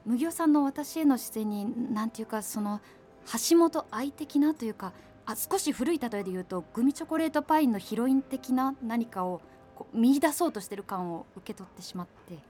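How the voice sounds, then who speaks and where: A woman's voice: on the high side, lively intonation, a little fast, mellow, soft background music, lightly acted. An actress playing a cool-headed young woman in a radio drama, politely hedging yet blunt as she rebuffs someone. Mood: frank. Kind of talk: naturalistic radio drama dialogue.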